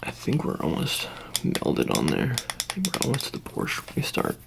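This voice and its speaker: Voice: medium pitch, huge pitch swings, moderate pace, creaky, background noise. An ASMR performer in a roleplay as a secretive, reassuring handler, murmuring improvised instructions to the listener in a hesitant, rambling way. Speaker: ASMR performer playing a character who preps the listener. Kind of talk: whispered ASMR roleplay, improvised personal attention.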